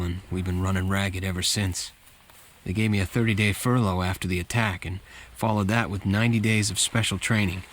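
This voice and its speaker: Deep-voiced earnest young Marine, played by a man. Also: a little hiss or hum, lightly acted. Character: young US Marine soldier narrating, earnest and steady. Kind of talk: scripted narration, letter home.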